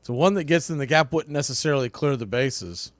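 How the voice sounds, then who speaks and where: A man calling baseball play-by-play live, in clipped pitch-by-pitch phrases. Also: animated, fairly quick, no echo. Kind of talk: live sports commentary.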